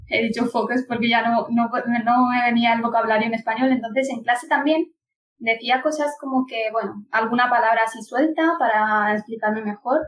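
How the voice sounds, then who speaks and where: Punjabi-native woman, a bit high, hesitant casual chat, mic set back. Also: distant mic, rough recording. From Pakistan. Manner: hesitant, with frequent restarts and laughs. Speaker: podcast guest. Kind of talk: casual conversation.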